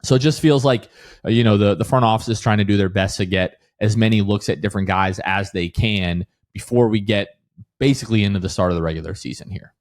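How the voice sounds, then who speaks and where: Low-pitched man hosting a sports podcast. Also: fast, dry recording, clean studio sound. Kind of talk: casual sports podcast chat.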